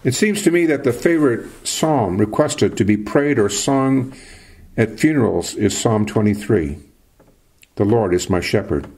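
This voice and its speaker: An older man, a priest from Canada, giving a sermon in a slow, measured preaching cadence with a husky voice. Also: medium pitch, lively intonation, darkish tone.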